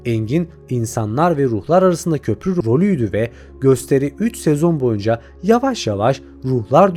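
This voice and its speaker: Lively, higher-pitched man narrating a video essay. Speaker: video channel host and reviewer.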